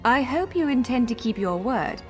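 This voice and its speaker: Fantasy game guide: a courteous, wry elf woman scholar, higher-pitched and expressive, music underneath. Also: moderate pace. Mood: brisk, friendly. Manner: articulate, formal but playful. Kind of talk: video game character dialogue. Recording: long hall reverb over music.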